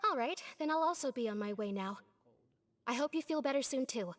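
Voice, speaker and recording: A prim young lady from a video game. Her voice is high and expressively melodic, polite with a haughty edge, ringing with long reverb. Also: expressive, a little fast, noisy background. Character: haughty, sharp-tongued but courteous young lady.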